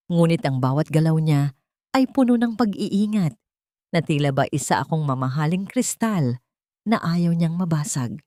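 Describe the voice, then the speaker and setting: Low-pitched woman narrating a dramatic story. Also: dark tone, dry recording, studio quality.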